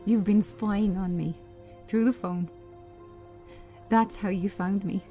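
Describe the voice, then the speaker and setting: A woman's voice: medium pitch, steady tone, slow, mellow, faint music behind, natural voice. A woman playing a defiant but shaken female yoga teacher with a firm, indignant manner in a screenplay reading. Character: defiant, trembling young woman yoga teacher standing her ground.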